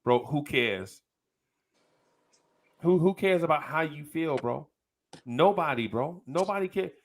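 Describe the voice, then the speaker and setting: A man's voice: on the high side, lively intonation, unhurried. A man co-hosting a casual sports debate, blunt and cutting in, stammering to take the floor.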